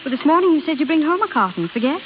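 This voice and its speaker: High-pitched, earnest young wife. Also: female voice, sing-song, racing, crowd noise. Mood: fond, worried. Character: earnest young wife, anxious, budget-minded, quick to hurt. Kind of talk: 1930s radio acting. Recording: hissy, phone-like old radio recording.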